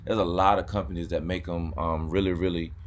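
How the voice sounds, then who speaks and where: Deep-voiced laid-back man. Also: little pitch movement, brisk, slight background noise. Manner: laid-back, repeats words, says "man" a lot. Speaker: YouTube car channel host. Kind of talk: casual chat.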